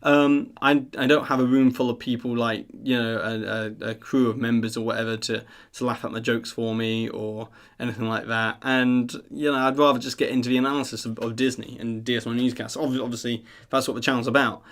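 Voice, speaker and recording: A man's voice: medium pitch, level tone, fairly quick, few pauses, fairly bright tone. A man hosting a live stream, chatting casually in an earnest, rambling, self-deprecating way. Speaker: live stream host of a theme park news channel.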